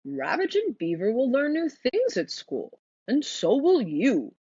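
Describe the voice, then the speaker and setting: Woman voicing a gentle, measured owl schoolmaster. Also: a little high, wildly swooping pitch, slightly rough, no echo, lo-fi sound, voice pushed up, heavily acted. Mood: reassuring. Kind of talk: children's storybook character voice.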